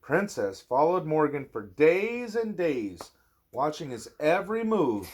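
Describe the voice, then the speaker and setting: A man narrates a children's storybook in an animated, fatherly read-aloud style. His pitch moves up and down a lot while the pace stays slow, with dramatic pauses for the listener.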